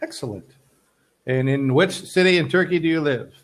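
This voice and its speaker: A man's voice: on the high side, normal speed. An American man tutoring English on a video call, friendly and easygoing, rephrasing things simply for his student. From United States. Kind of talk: casual online tutoring chat.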